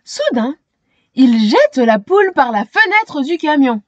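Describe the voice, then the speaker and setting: A woman narrates a children's storybook in a high voice. The reading is expressive and dramatic, at a slow, deliberate pace. The recording is dry and close. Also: no echo, highs cut off. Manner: dramatic, pauses to question young listeners. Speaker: storytime reader.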